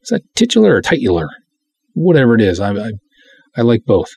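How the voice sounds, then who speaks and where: A man hosting a podcast solo in a casual, rambling, self-deprecating way, chatting and reading things aloud with a husky voice. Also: mid-range voice, huge pitch swings, a little fast, a little mellow, no echo. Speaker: podcast host.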